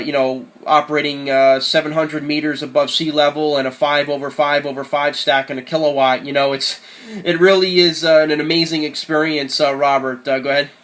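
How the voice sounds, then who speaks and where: Enthusiastic higher-voiced man on ham radio. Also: a bit bright. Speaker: amateur radio operator. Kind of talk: casual radio contact chat.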